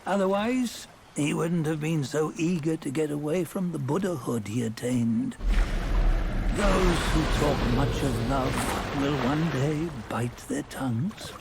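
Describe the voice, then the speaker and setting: An actor playing a grave, judgmental guide in a fantasy game, speaking in solemn, archaic phrases with a cryptic, mocking edge. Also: male voice, a little high, slow, gravelly, very noisy. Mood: grave, knowing. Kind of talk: fantasy game cutscene acting.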